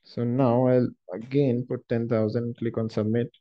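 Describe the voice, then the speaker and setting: A man working as a software trainer, explaining a screen-share tutorial step by step in a halting, explanatory way. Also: medium pitch, flat delivery. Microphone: screen-recording voice-over. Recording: dull lo-fi voice recording.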